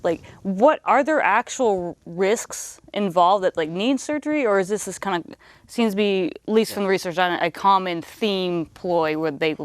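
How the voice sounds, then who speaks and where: A woman's voice: mid-range voice, normal speed, no echo. A woman chatting informally as an interviewer, in loosely phrased conversational speech.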